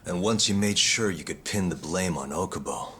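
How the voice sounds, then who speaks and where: Low-voiced, dogged male investigator in a game drama. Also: moderate pace, pushed and bright, a little hiss or hum. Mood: accusing, sure. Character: dogged male investigator, calm, cocky and accusing. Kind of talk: dramatic video game cutscene acting. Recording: music behind the voice.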